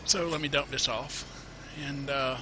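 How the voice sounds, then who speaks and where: A man with a mid-range voice talks through a farming game tutorial in a loose, rambling way, full of "uh"s and restarts. He has a cold and sniffles now and then. His home desk mic carries a steady hiss of background noise. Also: medium pitch, a little slow. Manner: rambling, self-correcting, many uh fillers. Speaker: gameplay tutorial host. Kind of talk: casual let's-play commentary.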